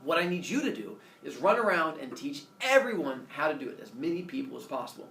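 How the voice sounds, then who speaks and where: Defiant trickster titan for myth storytelling voiced by a man, casual, cheeky, very varied pitch, echoey recording. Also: big pitch swings, fast, creaky, fairly bright tone, heavily acted. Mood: eager, pleased. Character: cheeky, stubborn male titan trickster who helps humans. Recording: roomy, distant mic, faint noise.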